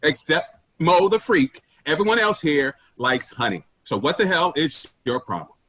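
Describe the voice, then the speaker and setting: Man playing a forceful, raspy, callous boss. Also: a little high, big pitch swings, normal speed. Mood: sarcastic, scornful. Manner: brusque, sarcastic, bossy. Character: callous, domineering male office boss, sarcastic and abrasive. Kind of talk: staged play reading.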